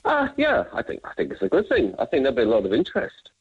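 A man from London with a London accent, calling in to a radio talk show and chatting with quick, lively enthusiasm. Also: medium pitch, husky, no room sound. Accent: London English. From London, England. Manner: chatty, animated, laughs easily. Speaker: caller. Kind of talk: phone-in chat. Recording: phone line.

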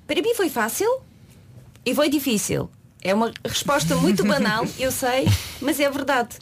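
Brief: woman in her 30s, Ukrainian accent, lively and talkative, slightly high voice, radio studio guest. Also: normal speed, a little hiss or hum. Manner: lively, talkative, laughs often. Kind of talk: radio interview chat.

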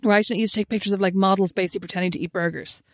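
A woman co-hosting a casual chat with her sister, telling stories quickly and teasing. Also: mid-range voice, steady tone, no echo, studio quality. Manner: teasing, rapid storytelling. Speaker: podcast co-host.

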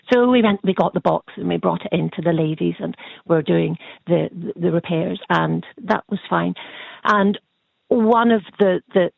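A woman's voice: on the low side, gravelly, dry recording. A woman from Northern Ireland with a Northern Irish accent, calling in to a radio show and telling a story hesitantly. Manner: hesitant, many restarts. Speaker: radio caller. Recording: tinny phone line.

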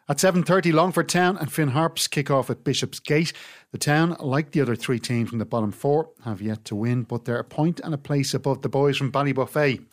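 A man with an Irish accent hosting a football podcast, reading a scripted round-up of fixtures and results. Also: mid-range voice. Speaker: podcast host. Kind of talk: scripted sports round-up narration.

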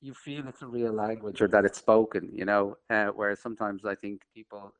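A Dublin man with a Dublin Irish accent talks through his thoughts in an interview. His voice sits a little low, and he speaks earnestly, often stopping and restarting as he hunts for the right word. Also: steady tone, fairly quick. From Dublin, Ireland. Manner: earnest, searching for words, many restarts. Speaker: filmmaker being interviewed. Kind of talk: reflective interview talk.

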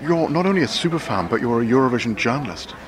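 A man's voice: mid-range voice, lively intonation, fast talker. A male reporter asking upbeat questions in a street interview. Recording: loud crowd behind.

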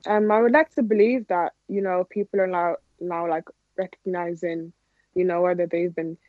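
A woman's voice: lowish voice, steady tone, moderate pace, no echo. A young woman with an Irish accent giving informal, conversational interview answers peppered with "like". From Ireland. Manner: informal, frequent "like". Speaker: interview guest, athlete. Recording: dull, lo-fi audio.

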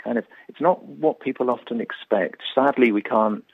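A man's voice: medium pitch, normal speed, vocal fry, slightly rough, no room sound. A man, a guest explaining his work in a radio interview. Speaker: pain charity representative, interview guest. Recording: thin, band-limited phone-like line.

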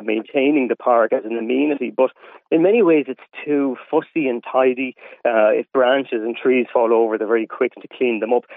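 An Irish man talks in a measured, hesitant way, with many fillers, as a guest on a radio show. His voice comes down a tinny phone line, narrow and lo-fi. The sound is dry, with no room around it. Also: medium pitch, no room sound. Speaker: wildlife campaigner, radio guest.

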